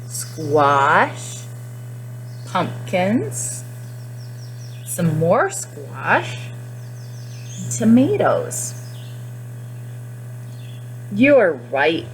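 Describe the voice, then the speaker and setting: A woman teacher doing a guided read-aloud for young children, pausing to explain and to question her listeners directly. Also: mid-range voice.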